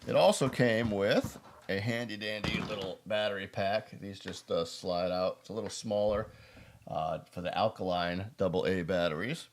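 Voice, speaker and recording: A man's voice: lowish voice, moderate pace, slight background noise. An American man hosting a prepper video, talking casually through a product review in a rambling, folksy, self-deprecating way.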